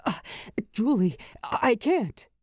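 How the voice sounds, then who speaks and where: A woman voicing a tender, lovestruck teenage boy in a story, hesitant and hushed. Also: on the high side, big pitch swings, takes it slowly, no echo, clean studio sound, heavily acted. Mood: flustered. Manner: stammering, hushed.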